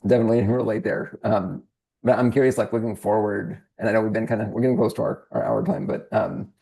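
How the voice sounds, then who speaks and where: A podcast host interviewing a guest in a relaxed, agreeable way, laughing easily. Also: medium pitch, quick pace, gravelly, poor audio. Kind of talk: casual interview.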